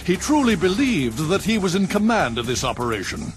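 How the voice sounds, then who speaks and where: An actor with an American accent playing a cold, condescending government official in a video game cutscene, smug in manner. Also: male voice, sits high, very animated, fairly quick, soft background music. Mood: smug, dismissive.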